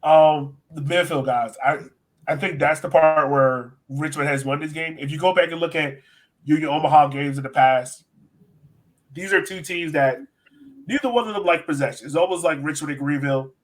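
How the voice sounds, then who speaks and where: A man's voice: on the high side, normal speed, poor audio. An American man hosting a sports podcast, talking casually and with enthusiasm as he breaks down soccer. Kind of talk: casual soccer analysis chat.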